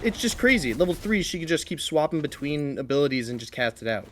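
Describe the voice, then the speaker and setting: A man giving live esports commentary and analysis as a shoutcaster. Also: fairly high pitch, sing-song, fast talker, a little hiss or hum.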